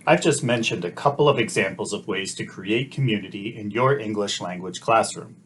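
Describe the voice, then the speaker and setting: A middle-aged man narrating a scripted teacher-training lesson in a calm, measured, explanatory way. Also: mid-range voice, a little hiss or hum.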